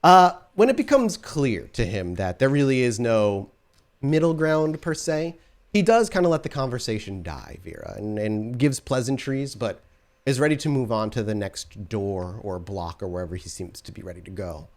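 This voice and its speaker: A man's voice: lowish voice, big pitch swings, moderate pace. A man running a tabletop role-playing game as storyteller, narrating loosely and laughing often.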